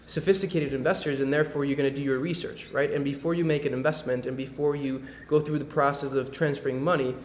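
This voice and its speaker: A man's voice: mid-range voice, level tone, quick pace, few pauses, a little hiss or hum. Man hosting a webinar, echoey room. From Medellín, Colombia. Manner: loose and conversational, many fillers and tag questions. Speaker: real estate webinar host. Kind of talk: casual presentation on a video call. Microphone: video call.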